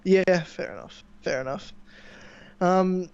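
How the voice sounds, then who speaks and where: A young man hosting a casual podcast, chatting and rambling excitedly with a sore throat from screaming. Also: high-pitched, takes it slowly, clear, resonant tone. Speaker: podcast host. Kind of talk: casual chat. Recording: dull lo-fi mic.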